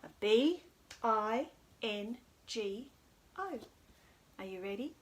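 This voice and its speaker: A woman presents a children's storytime in a fairly high voice. She speaks very slowly and in simple phrases, with an encouraging, sing-song delivery aimed at small listeners. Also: expressive. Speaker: children's storytime host at a library.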